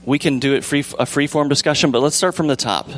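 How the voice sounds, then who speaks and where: A young man with a calm, relaxed voice leading a casual church discussion, rambling gently with fillers and restarts. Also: a little high, fast, a little hiss or hum. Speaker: church group leader. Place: church sanctuary with pews.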